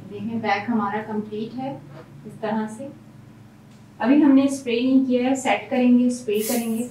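A woman hairstylist talks through every step of a hairstyle as she works on a client in a salon. Her voice is fairly high. She stands away from the mic in an echoey room, with a faint hum of room sound behind her. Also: steady tone, normal speed, dark tone, distant mic. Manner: explains each step while working. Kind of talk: hairstyling tutorial.

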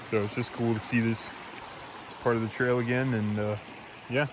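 Young male hiker vlogger, mid-pitched, casual and cheerful, phone recording outdoors with light background noise. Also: level tone, moderate pace. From Florida. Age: young adult. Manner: upbeat, chatty, thinks aloud with fillers. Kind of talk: casual vlog narration. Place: forest trail outdoors. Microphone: phone at arm's length.

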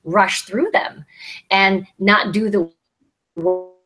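A woman's voice: mid-range voice, steady tone, takes it slowly, over music, poor audio. A woman in her thirties speaking as a podcast panelist in a casual discussion, on an earbud mic over a video call from a small room. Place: small plain room, indoors. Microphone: earbud headset on a video call.

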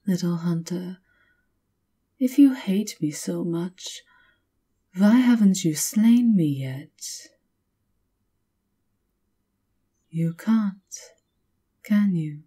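A woman playing a seductive, menacing vampire countess in an ASMR roleplay, taunting in a breathy, theatrical purr that often drops toward a whisper. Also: deep voice, dark tone, exaggerated voice.

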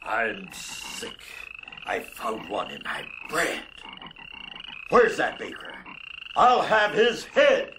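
A man's voice: sits high, big pitch swings, slow pace, background noise, heavily acted. A man playing a haughty, exasperated Pharaoh of Egypt in a biblical audio drama, imperious and scornful. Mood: exasperated, commanding.

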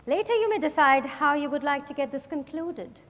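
A woman's voice: high-pitched, lively intonation, brisk, a bit bright. A woman acting a probing, accusatory female detective in a theatrical dramatized stage reading. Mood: measured, probing. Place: large hotel ballroom stage. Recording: echoey hall, picked up from the audience.

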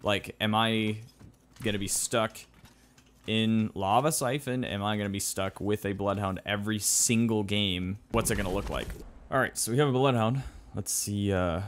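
A man's voice: fairly low pitch, fairly even intonation, normal speed, slight background noise. A man giving casual, conversational and opinionated commentary as a video game streamer.

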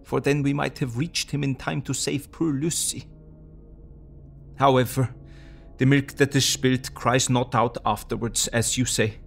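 An actor playing a grave, courteous foreign professor who hunts vampires, speaking formally and solemnly in an audio drama. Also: male voice, medium pitch, steady tone, unhurried, vocal fry, faint music behind. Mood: regretful. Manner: formal, solemn, quaintly phrased.

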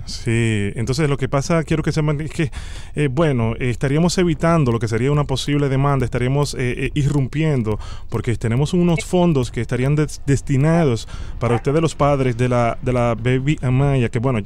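A man's voice: mid-range voice, fairly quick, a little mellow. Hesitant man on a prank call. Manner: hesitant, stammering, apologetic. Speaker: prank-call host posing as a hospital doctor. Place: small indoor home studio. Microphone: close studio microphone on arm with headphones.

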